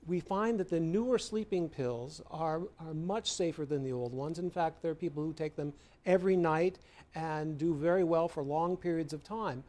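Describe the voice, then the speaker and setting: A man's voice: on the high side, normal speed, a little mellow. A man with an American accent explaining things as a medical expert in an interview.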